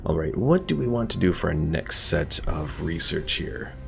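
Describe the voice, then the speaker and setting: Male streamer, low register with vocal fry, casual rambling game commentary to chat. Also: very animated, normal speed, slight background noise. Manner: rambling, thinking aloud. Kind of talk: casual gameplay commentary while chatting with viewers. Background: game music.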